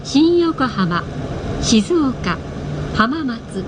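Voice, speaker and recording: A woman reading a scripted train announcement in a polite, formal, measured manner. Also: sing-song. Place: played inside a moving train carriage. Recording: onboard train speaker with clear vehicle noise. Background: train rumble and cabin noise.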